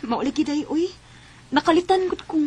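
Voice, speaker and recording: An actress playing a young wife in a radio drama, trusting but easily hurt, with a Cebuano Filipino accent. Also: female voice, high-pitched, lively intonation, moderate pace, a little mellow. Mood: shy, flustered.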